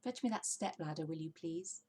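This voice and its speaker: A woman's voice: sits low, lively intonation, fairly quick, a little mellow, husky. A woman voicing a confident, bossy, jolly father fox in a children's storybook, exclaiming and giving orders. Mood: gleeful, bossy. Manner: exclamatory, commanding, persuasive. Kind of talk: children's storybook character reading.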